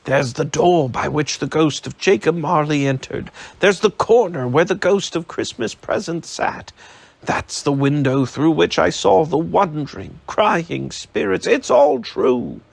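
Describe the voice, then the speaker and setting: Male reader as an ecstatic old man, husky, leaping pitch, storybook. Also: expressive, slow, a bit bright, no room sound, exaggerated voice. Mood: giddy joyful. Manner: exclamatory, laughing and crying at once. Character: elderly miser overjoyed, giddy old man.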